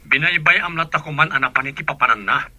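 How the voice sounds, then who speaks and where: A man's voice: on the high side, quick pace, bright, forceful tone. A man playing an exasperated father in a radio drama, remonstrating with his wife. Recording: music behind the voice.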